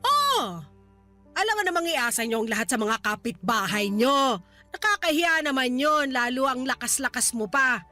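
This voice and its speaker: A stern Filipino grandmother for radio drama. Her voice is high and piercing, with a scolding, dismissive manner. Faint music plays underneath. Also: sing-song, normal speed, bright, forceful tone, exaggerated voice. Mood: defensive. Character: stern, short-tempered grandmother scolding her grown daughter.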